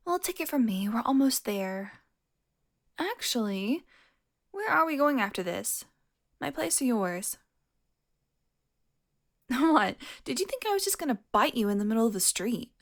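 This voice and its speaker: A long-lived female vampire, acted by a woman, speaks in a lightly high-pitched voice. She is sardonic and foul-mouthed, with a flirtatious, teasing edge. The delivery is an intimate audio roleplay monologue aimed straight at the listener. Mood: flirty, impatient. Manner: sardonic, teasing, breathy asides.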